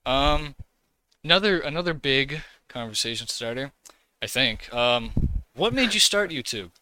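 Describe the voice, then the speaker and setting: A man's voice: medium pitch, expressive, a little slow, sharp tone, dry recording. A man hosting a podcast in a casual, reassuring interview chat, prompting his guest. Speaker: podcast host.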